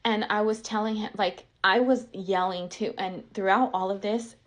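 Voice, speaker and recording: A woman's voice: mid-range voice, fairly even intonation, moderate pace. A woman candidly telling a personal story in an emotional, venting way, passionate and emphatic, with "like" peppered all through her sentences. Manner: emotional, venting, says "like" constantly. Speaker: online content creator.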